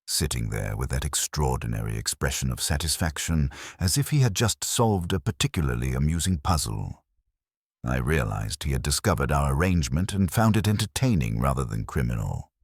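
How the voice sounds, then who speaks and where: A theatrical village lady poisoner played by a male narrator confesses with regret in a mystery drama. The voice is extremely low, with lively rises and falls. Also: a little fast, breathy edge, no room sound, clean studio sound, full character voice. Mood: regretful.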